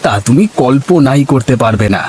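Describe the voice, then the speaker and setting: A man voicing an honest, hardworking village fisherman in an animated folk tale, in a homely, expressive way. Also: mid-range voice, normal speed, natural voice. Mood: reassuring. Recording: faint background noise.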